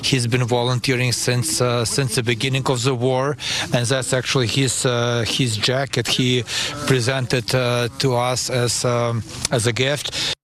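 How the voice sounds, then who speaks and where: A man interviewed at a memorial, speaking with fillers and restarts. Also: medium pitch. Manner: flat, halting with fillers.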